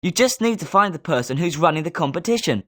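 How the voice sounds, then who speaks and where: A man's voice: fairly high pitch, lively intonation, quick pace, bright, forceful tone. A male actor playing a smug, rivalrous schoolboy at a wizard school in scripted video game lines. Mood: informative.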